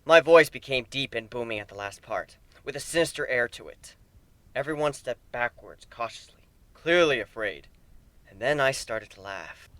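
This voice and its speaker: A man narrating fan fiction as an amateur dramatic reader, theatrical and expressive. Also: mid-range voice, moderate pace.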